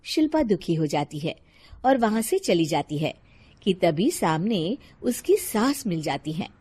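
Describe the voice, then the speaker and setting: A woman's voice: on the low side, big pitch swings, measured pace, warm tone, husky. A woman narrating a scripted cartoon moral story.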